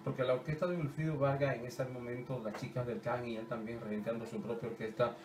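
A man's voice: mid-range voice, level tone, fast, music in the background. A middle-aged man hosting a radio interview, conversational, chiming in with short supportive remarks. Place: small radio studio with curtain backdrop. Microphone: desk mic on stand, heard from a distance. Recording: roomy studio with background noise, distant mic.

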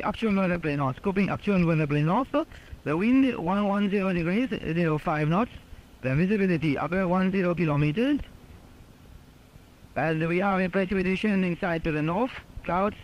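A man working as an air traffic controller gives formal, halting radio calls, often repeating his phrases. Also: high-pitched, poor audio. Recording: archival air traffic radio with faint noise.

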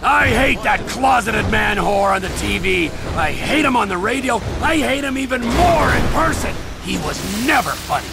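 An actor playing an unhinged, vengeful middle-aged criminal in a video game, foul-mouthed, sneering and menacing. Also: male voice, high-pitched, sing-song, normal speed, creaky, few pauses, heavily acted. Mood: furious ranting. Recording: game engine and music noise behind.

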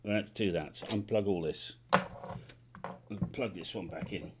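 A man's voice: low-pitched, a little slow, heavy background noise. Man calling out ham radio call signs. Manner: calls out phonetic call signs loudly, then chats to himself. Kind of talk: live radio contacts and casual commentary. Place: home radio shack. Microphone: headset.

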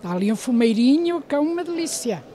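Lady, high pitched, gushing in a street-style interview. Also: big pitch swings, fast, a crowd in the background. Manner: effusive. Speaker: fair visitor. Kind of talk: casual exclamatory vox pop.

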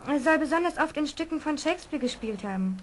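A loyal, caring child in a vintage children's audio drama. The voice is high, and the delivery is earnest and heartfelt. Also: animated, fast talker, a little hiss or hum. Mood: concerned. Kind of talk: 1970s German children's radio play acting.

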